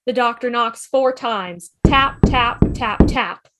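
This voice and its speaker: A woman's voice: a little high, slow pace, very noisy. A woman giving dramatic, punchy scripted narration at an amateur table read, voicing sound effects as plain words. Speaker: narrator reading stage directions.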